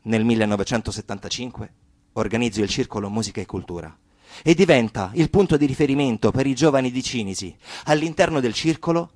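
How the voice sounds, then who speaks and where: A man's voice: medium pitch, fast, a little mellow, no room sound, natural voice. An actor playing an impassioned young left-wing activist who recounts his life in a theatrical monologue. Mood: reflective, earnest. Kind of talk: theatrical monologue for stage and radio.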